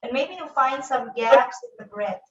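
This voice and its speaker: A woman leading a guided breathing meditation in a slow, soft, calm voice with long pauses. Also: high voice. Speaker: yoga teacher. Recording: echoey room, voice from a distance, dull sound.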